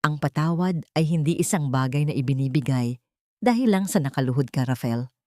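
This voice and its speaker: A woman's voice: sits low, expressive, brisk, mellow, no echo, clean studio sound. A woman voicing a wronged, unforgiving woman in an audio drama, with cold and controlled delivery. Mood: cold resolve. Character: wronged, steely, unforgiving woman confronting her betrayer.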